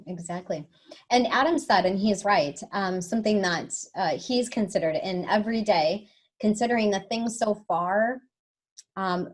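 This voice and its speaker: A woman in a webinar discussion, agreeing and encouraging others. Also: medium pitch. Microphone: video call.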